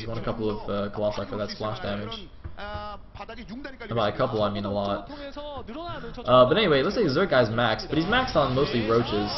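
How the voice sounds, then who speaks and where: A man commentates live on a competitive video game in a casual, think-aloud way. His voice sits at an ordinary male pitch. The audio is dull and lo-fi, with a crowd clearly audible behind him. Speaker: esports commentator.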